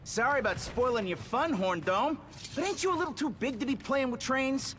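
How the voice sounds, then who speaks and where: A man's voice: extremely high pitch, lively intonation, normal speed, fairly bright tone, slight background noise. A man voicing a sarcastic, hot-headed mutant turtle with streetwise slang. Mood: wisecracking. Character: young male mutant turtle hothead, sarcastic tough guy.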